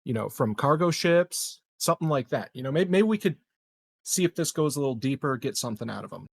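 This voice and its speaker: A man's voice: medium pitch, lively intonation, brisk, vocal fry, breathy edge. A man playing a tabletop roleplaying game, talking casually and tentatively, repeating words as he thinks aloud.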